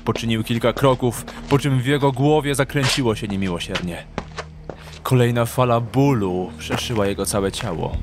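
A man's voice: mid-range voice, normal speed, noisy background. A man narrating a dramatic audio drama from a script. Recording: clear music behind the narration.

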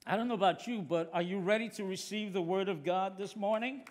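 An earnest middle-aged male preacher of Puerto Rican heritage, excitable and quick to restart his sentences. His voice sits high for a man. Long reverb from the large church hall trails behind him. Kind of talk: sermon.

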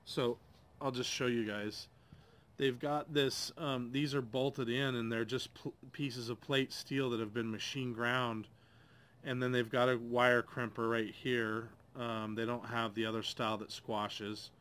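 A man's voice: medium pitch, little pitch movement, unhurried, a bit bright, smooth. A man in his forties reviewing tools at an outdoor table, thinking aloud with plenty of hesitations. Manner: rambling, hesitant, thinking aloud with many ums. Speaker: DIY channel host. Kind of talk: casual tool review.

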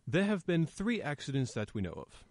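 An actor as a police inspector in a radio drama, calm and considerate. His mid-pitched voice moves up and down expressively while staying measured, recorded dry and close. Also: dry recording. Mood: grave, explanatory. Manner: measured, reassuring, explanatory. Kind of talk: old-time radio play acting.

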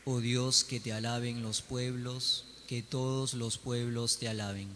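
A man's voice: mid-range voice, flat delivery, measured pace, sharp tone, a little hiss or hum. A man leading sung responses in church as cantor, singing along with a guitar. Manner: leads the congregation in song.

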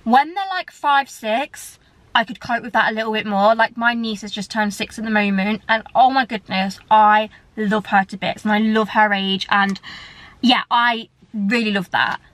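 A woman's voice: mid-range voice, fairly even intonation, moderate pace, a bit bright, no echo, poor audio. A candid woman in her early thirties vlogs from the driver's seat of a parked car. She talks in a loose, conversational way, hands moving as she speaks. The camera sits on the dashboard in front of her. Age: 30s. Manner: chatty and candid, gesturing with both hands. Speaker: vlogger. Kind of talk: casual chat to camera.

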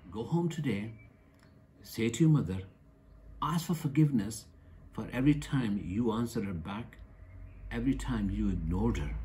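A man in his sixties delivers an earnest, sermon-like holiday message from his small office. His voice sits low and mellow, with repeated heartfelt appeals to the listener. A faint hiss of room ambience runs under the recording. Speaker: clinic doctor giving a holiday message. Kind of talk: heartfelt scripted address to camera. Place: small office with certificates on the wall.

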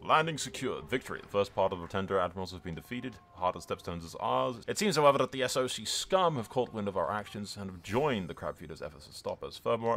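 A man's voice: sits low, a bit bright. A man hosting a let's-play, commentating in a rambling, enthusiastic, casual way that slips into dramatic role-play and swearing. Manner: rambling, enthusiastic, sweary, addresses viewers as lads. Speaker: gaming YouTuber, host. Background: game music.

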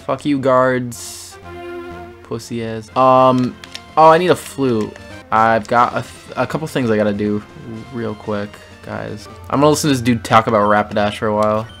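A man's voice: mid-range voice, steady tone, creaky, fairly bright tone. Guy co-hosting a game playthrough; crude, mocking banter, heavy swearing, light game music underneath. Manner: reads in-game dialogue aloud quickly. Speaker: let's play co-host, player. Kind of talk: casual gaming commentary.

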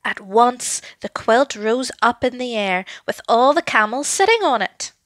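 Unhurried woman storyteller, higher-pitched voice. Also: animated, no echo. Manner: deliberate, dramatic delivery with hushed passages. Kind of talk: expressive children's story narration.

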